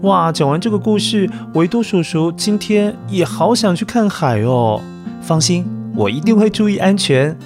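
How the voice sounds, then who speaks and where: Higher-voiced Taiwanese man talking to children. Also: lively intonation, normal speed, music in the background. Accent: Taiwanese Mandarin. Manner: gentle coaxing, simple explanatory talk to children. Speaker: children's story podcast host. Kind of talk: storytelling addressed to young children.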